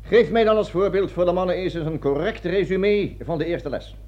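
Male actor as pompous army colonel, high-pitched and commanding, lecturing troops; satirical radio play, dull vintage sound. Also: normal speed, lightly acted. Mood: lecturing, brisk. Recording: dull lo-fi old radio recording.

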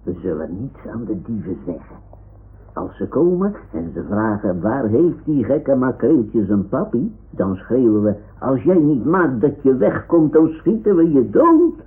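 An actor playing a boastful, childlike toy sea lion who tells things in a halting, self-important way in an old radio play. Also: male voice, medium pitch, big pitch swings, husky, exaggerated voice. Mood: defiant. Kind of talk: 1960s-70s Dutch radio play acting. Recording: dull, muffled old radio recording.

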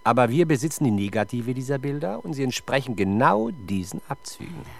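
A man's voice: medium pitch, sing-song, fairly quick, gravelly. An actor playing a sardonic, exasperated male police detective in a 1970s German radio crime drama, with dry, sarcastic delivery. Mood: pressing, insistent. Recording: 1970s radio production with faint background noise.

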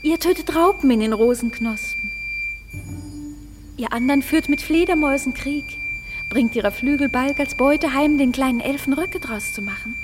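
A woman's voice: high-pitched, moderate pace, background noise, close to an everyday voice. An actress playing the regal fairy queen in a classic verse radio play, giving orders to her fairies with a gracious, commanding delivery.

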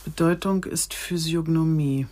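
Low-voiced brooding policewoman. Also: steady tone, unhurried, background noise. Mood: pensive. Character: female police chief inspector, brooding, brusque, determined. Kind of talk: German radio crime drama acting.

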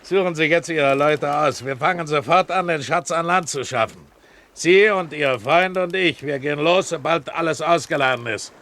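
An actor in a 1970s German radio play, playing a sly, domineering pirate captain with a commanding, mocking manner. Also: male voice, fairly high pitch, moderate pace, fairly bright tone. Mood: commanding, amused. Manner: commanding, mocking, given to chuckling.

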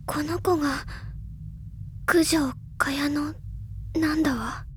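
A woman voicing an excitable, bookish teenage girl in a Japanese audio drama, with expressive, theatrical, feminine phrasing. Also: high voice, takes it slowly. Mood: earnest, pondering. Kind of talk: Japanese audio drama acting, anime style. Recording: studio drama with music behind. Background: background music.

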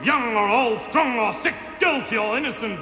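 A male performer playing an anguished survivor and the sergeant he remembers, in dramatic declamation swinging between hushed telling and shouted commands. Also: very high voice, normal speed. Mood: anguished, grave. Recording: very reverberant, under loud orchestral music. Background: loud music.

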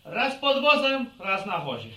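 Cocky whiny young country guy, high voice, radio play, echoey and off mic. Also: unhurried, full character voice. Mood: whining. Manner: teasing, excitable, complaining. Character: cocky, whining young village footballer and accordion player. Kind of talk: radio drama acting. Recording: echoey, distant, noisy recording.

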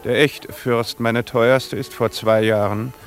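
An actor playing a languid, world-weary duke with unruffled deadpan wit in a 1950s German radio play. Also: male voice, medium pitch, takes it slowly.

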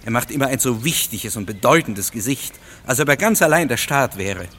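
An actor playing a resentful, rebellious young student, a governor's son, speaking scornfully in a radio drama. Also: male voice, mid-range voice, animated, fairly quick, a bit bright, a little hiss or hum, lightly acted. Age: young adult. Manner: bitter, scornful. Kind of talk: German radio drama acting.

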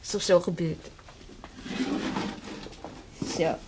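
A woman's voice: lowish voice, fairly even intonation, a little fast, natural voice. An actress playing a kind, chatty young nurse in a radio drama, soothing and coaxing as she fusses over a patient. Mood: coaxing, friendly. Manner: caring, coaxing, fussing. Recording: slightly noisy old radio play recording.

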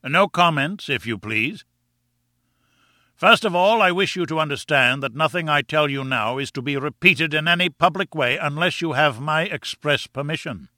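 A man plays an arrogant professor from a classic storybook, insolent and domineering. He booms as if lecturing a class, condescending in every phrase. The voice is forceful and bright, in a dry, close recording. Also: normal speed, dry recording. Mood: commanding. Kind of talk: audiobook character dialogue.

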